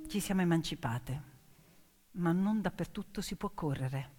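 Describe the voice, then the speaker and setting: A woman performing a dramatic theatrical storytelling monologue, emphatic and moving between hushed and declaimed delivery. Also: on the low side, expressive, normal speed. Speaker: stage actress and storyteller. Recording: long reverberant hall with music. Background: piano music.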